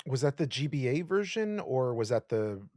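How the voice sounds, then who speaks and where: A man and podcast co-host chatting casually about video games, explaining things and asking relaxed questions. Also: mid-range voice, normal speed, dry recording, studio quality.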